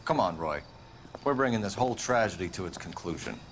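A man's voice: deep voice, expressive, brisk, big-room sound, slight background noise. A man playing a firm, earnest police detective in a 1940s crime game. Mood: reproving.

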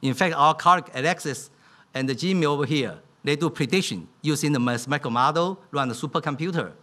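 A man's voice: fairly high pitch, quick pace, pushed and bright. A middle-aged man giving a keynote speech from a podium in a conference hall, speaking in simple. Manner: non-native English, simplified grammar. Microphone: podium microphone.

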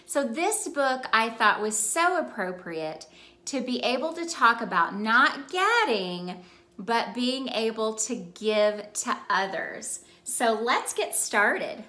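A bright-voiced woman reads a storybook aloud for children in a roomy indoor space. She takes her time, speaking slowly and with exaggerated expression, as if talking straight to young listeners. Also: fairly high pitch, animated. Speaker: children's ministry storyteller and host. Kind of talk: animated picture-book read-aloud for children.

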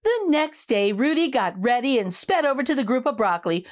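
A woman reading a story aloud for young children in an expressive, emphatic way. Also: high-pitched, fairly quick. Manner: animated, emphatic.

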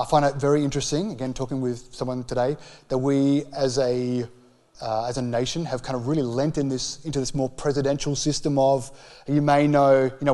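A man in his forties with an Australian accent, preaching a conversational sermon into a handheld microphone with emphatic, deliberate phrasing. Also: fairly high pitch, darkish tone. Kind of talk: sermon, conversational public teaching. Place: church hall with stage.

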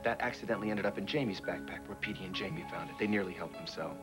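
An older man acting in a daytime soap, gravely explaining a danger to a friend. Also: sits low, fast, loud music behind the voice, natural voice. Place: indoor studio set.

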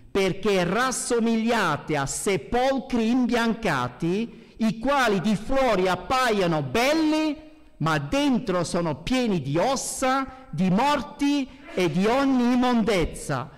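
A man's voice: very high-pitched, very animated, slow. A middle-aged man preaching a sermon as a pastor, with emphatic delivery, speaking at a lectern microphone in a church. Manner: emphatic, swinging between hushed and raised voice. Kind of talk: sermon with scripture readings. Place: church hall, indoors at a pulpit. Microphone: gooseneck lectern microphone. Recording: reverberant church hall.

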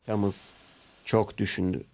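A man's voice: fairly low pitch, little pitch movement, measured pace, darkish tone. A man narrating a scripted children's story as the storyteller. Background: background music.

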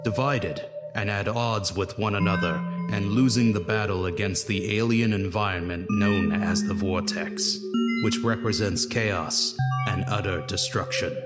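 An actor playing a solemn narrator of a comic book saga, reading scripted narration over loud music. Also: male voice, on the low side, unhurried, long reverb tail, lightly acted.

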